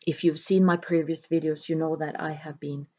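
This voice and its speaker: A woman whose first language is Norwegian, an author talking to camera in a slow, hesitant way with many pauses and fillers. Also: low-pitched, little pitch movement, a little mellow, dull audio. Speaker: self-published author. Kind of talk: reflective talk to camera.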